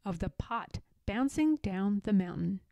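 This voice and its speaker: Slow, very animated woman reading a storybook. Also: medium pitch, gravelly, dry recording. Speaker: storybook reader. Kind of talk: expressive children's read-aloud narration.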